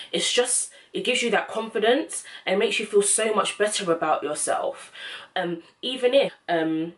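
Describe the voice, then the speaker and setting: A young woman gives casual, encouraging advice in a slangy, informal vlog style. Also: lowish voice. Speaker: self-help vlogger.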